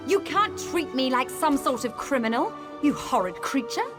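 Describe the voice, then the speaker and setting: A woman's voice: high-pitched, expressive, moderate pace, over music. An actress playing a proud, haughty noblewoman who speaks with scorn, in a video game. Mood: indignant.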